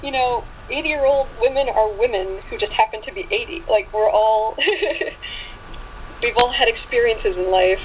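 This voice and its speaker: A woman's voice: a little high, unhurried. A woman chatting casually and effusively as an interview guest, friendly in manner. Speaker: interview guest, author and actress. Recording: tinny phone line with faint noise.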